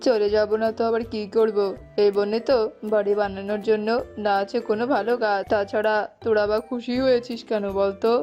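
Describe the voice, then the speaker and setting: A woman's voice: medium pitch, little pitch movement, brisk, warm tone, pitched below the performer's own voice, natural voice. A woman voicing a snobbish, disdainful male eagle in a cartoon, grumbling over background music. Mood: scornful. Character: snobbish haughty male eagle who despises the poor. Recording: slightly dull audio with music behind.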